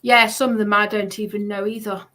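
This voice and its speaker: A woman hosting a livestream, chatting casually in hesitant, halting phrases. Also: medium pitch, flat delivery, bright, forceful tone. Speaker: livestream host.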